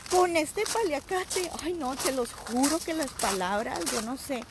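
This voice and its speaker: A woman's voice: high-pitched, animated, a little slow, background noise. A woman in her forties with a Mexican accent, chatting playfully to viewers on a live phone stream from a seaside walk. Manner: playful, jokey, talking to viewers. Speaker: vlogger. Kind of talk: live-streamed casual chat. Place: windy seaside outdoors. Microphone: phone at arm's length.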